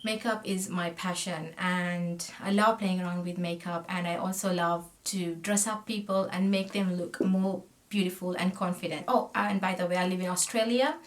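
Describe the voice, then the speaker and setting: A woman's voice: fairly low pitch, steady tone, moderate pace, hardly any pauses. Chatty woman from Sri Lanka vlogging. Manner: talkative and friendly, often trailing off while working. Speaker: makeup vlogger. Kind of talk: chatty makeup tutorial. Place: small indoor room, plain backdrop. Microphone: camera facing her at close range.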